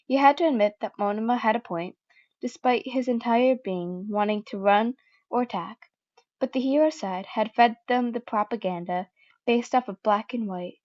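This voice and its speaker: A young woman with a fairly high voice reads fan fiction aloud in a loose, chatty way, stumbling and correcting herself often. The recording is dull and lo-fi, made at home. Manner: chatty, with many fillers and self-corrections. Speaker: podfic reader. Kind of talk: casual reading of fan fiction.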